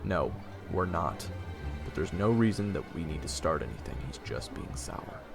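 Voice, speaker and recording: A man playing a sarcastic young traveler who narrates his own adventure in a wry, expressive, snarky way. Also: low-pitched, normal speed, gravelly. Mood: calming. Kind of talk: first-person dramatic narration and dialogue. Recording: roomy echo with long reverb, music behind.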